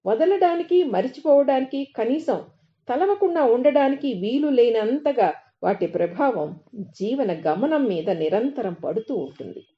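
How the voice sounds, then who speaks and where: A woman reads a reflective devotional essay as a narrator, in a measured way, phrase by phrase. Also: fairly high pitch, lively intonation. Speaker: narrator reading articles aloud. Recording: dull, lo-fi recording.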